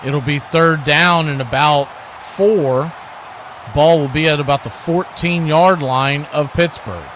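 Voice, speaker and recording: A man's voice: a little high, slow pace, a bit bright. A man doing live radio play-by-play commentary of a football game as the announcer. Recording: clearly noisy live broadcast.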